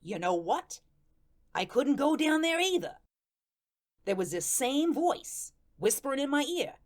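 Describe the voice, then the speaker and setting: A woman's voice: high voice, very animated, unhurried. A woman playing a chatty, aggrieved ghost of a murder victim, telling the story in slangy, conversational radio drama style. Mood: aggrieved, bemused. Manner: colloquial, ungrammatical, conversational storytelling. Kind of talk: first-person radio drama narration with acted dialogue.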